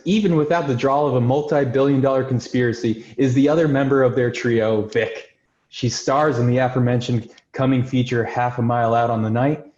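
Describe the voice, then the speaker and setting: A man's voice: medium pitch, a little mellow. Man narrating in a roomy space. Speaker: host and stage-direction narrator. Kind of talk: scripted introductions and screenplay narration. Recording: roomy, dull lo-fi sound.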